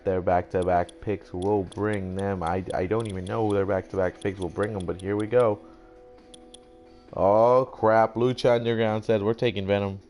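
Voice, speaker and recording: A man's voice: on the low side, darkish tone. A young man doing casual, rambling mock-announcer commentary for a video game draft, swearing now and then. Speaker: gaming YouTuber.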